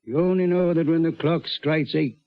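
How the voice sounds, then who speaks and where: Actor as earnest stout gentleman, highish voice. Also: fairly even intonation, normal speed, background noise, lightly acted. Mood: earnest, pressing. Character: big stout British gentleman, compassionate, earnest, persuasive. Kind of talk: 1940s radio acting. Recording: dull vintage radio recording.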